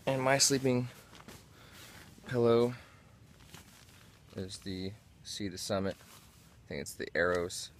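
A man in his thirties giving a casual, unscripted gear review in a low-key, halting way inside a small tent. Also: slight background noise.

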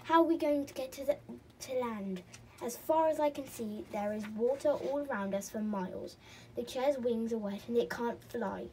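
A woman's voice: fairly high pitch, normal speed, warm tone, recorded from a distance, close to an everyday voice, poor audio. A glum storybook boy, read by a woman, complaining and fretting in a slightly raised voice. There is noticeable noise around the recording. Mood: gloomy grumbling. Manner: grumbling, complaining. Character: gloomy, worried boy stranded at sea.

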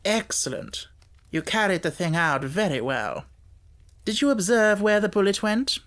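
Male narrator as self-assured Victorian detective for audiobook, raised pitch, emphatic, keen, lecturing. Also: big pitch swings, a little slow, fairly bright tone, heavily acted. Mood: brisk, approving.

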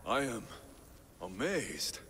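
Steady mercenary hero, expressive. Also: male voice, fairly low pitch, slow pace, slight background noise, full character voice. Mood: awed, gentle. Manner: firm, reassuring, direct. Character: steady, practical young male ancient Greek mercenary hero. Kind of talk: video game cutscene acting. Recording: long reverb, music behind.

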